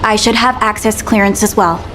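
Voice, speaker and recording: A woman's voice: medium pitch, fairly even intonation, brisk, background noise, close to an everyday voice. A young actress playing a determined young female fighter in a game cutscene, speaking in an insistent, resolute way. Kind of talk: video game cutscene acting.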